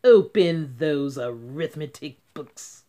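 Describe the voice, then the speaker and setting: A woman's voice: low-pitched, animated, warm tone, voice pushed down. Woman acting a stern, drawling substitute teacher. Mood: stern, snapping. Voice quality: hissing. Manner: slow, clipped, commanding.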